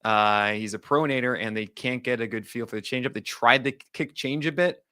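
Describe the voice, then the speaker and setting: A man hosting a podcast, chatting casually about pitching analysis with jokey asides. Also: bright, forceful tone, dry recording, studio quality. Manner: jokey, quick asides, trails off and restarts. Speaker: podcast host. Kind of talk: casual baseball analysis chat.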